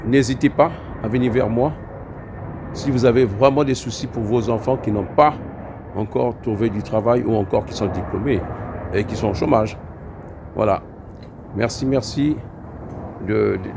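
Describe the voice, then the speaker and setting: A man working as a computer trainer, speaking hesitantly with many pauses and fillers. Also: fairly low pitch, vocal fry, noisy background. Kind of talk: spoken appeal to listeners.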